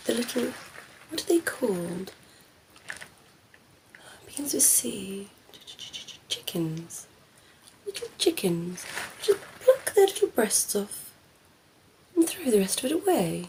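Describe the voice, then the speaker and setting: Woman playing a slow, creaky, flirty vampire lady. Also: mid-range voice, noisy background. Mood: playful, indignant. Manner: playful, coy, murmuring, often dropping into whisper. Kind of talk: ASMR roleplay. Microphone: close ASMR microphone.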